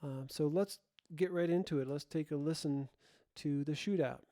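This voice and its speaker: A man's voice: a little high, normal speed, warm tone. A man presenting a gear comparison in a casual, explanatory way, plain-spoken and feeling his way through his sentences. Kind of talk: casual explanatory demo talk.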